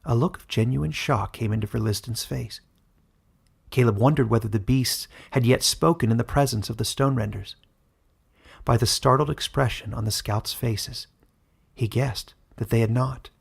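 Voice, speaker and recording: A man reads dramatic fantasy fiction as its storyteller. His mid-pitched voice is husky and creaky, suited to scripted narration. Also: normal speed.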